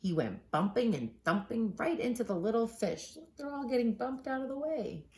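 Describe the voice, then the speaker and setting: A woman reading a children's picture book aloud expressively, with dramatic pauses and drawn-out words for emphasis. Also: a little high, lively intonation, a little mellow. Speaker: children's storybook reader.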